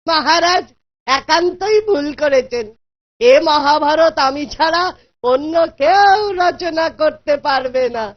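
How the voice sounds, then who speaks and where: A woman voicing a wily, confident male court jester in a cartoon, measured and slyly deferential. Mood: sly confidence. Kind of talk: Bengali cartoon voice acting. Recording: dull low-fidelity audio.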